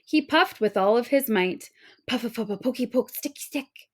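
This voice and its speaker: A woman narrating a children's storybook aloud in an expressive storytelling manner. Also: a little high, normal speed. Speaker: storybook reader.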